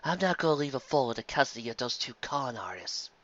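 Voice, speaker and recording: Man as an easygoing, quiet-spoken mare. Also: medium pitch, sing-song, fast talker, highs cut off. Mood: resolved. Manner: quiet, simple, understated. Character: easygoing, wry, supportive mare friend.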